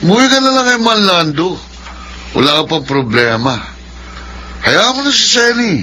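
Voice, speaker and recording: A jovial filipino barkada pal, sharp bright voice, a bit high, radio drama. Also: male voice, big pitch swings, moderate pace, a little hiss or hum, full character voice. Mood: sympathetic, encouraging. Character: jovial male drinking buddy, egging his friend on.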